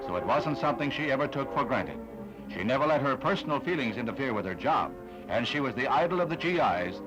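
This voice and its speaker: A man's voice: medium pitch, poor audio. A man narrating a 1960s newsreel in a solemn, formal announcer manner. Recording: vintage newsreel soundtrack with music. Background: background music.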